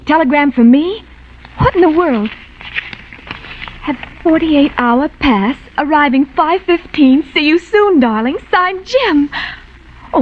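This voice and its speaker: Chirpy young housewife for vintage radio soap ad: fairly high, bubbly, muffled period sound. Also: female voice. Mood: excited, busy. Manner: bubbly, talking aloud to herself. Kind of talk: 1940s radio commercial acting.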